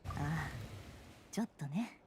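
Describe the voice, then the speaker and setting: An actress voicing a guarded, sardonic adult woman in game dialogue, with dry, measured, questioning replies. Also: low-pitched, lively intonation, background noise. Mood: wry. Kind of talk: Japanese game voice acting. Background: game music.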